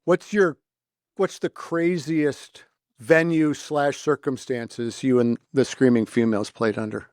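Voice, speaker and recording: A man's voice: medium pitch, fairly even intonation, unhurried, darkish tone, no room sound, clean studio sound. A middle-aged American man hosting a podcast in a chatty, rambling, informal way. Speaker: podcast host. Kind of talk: casual conversational interviewing.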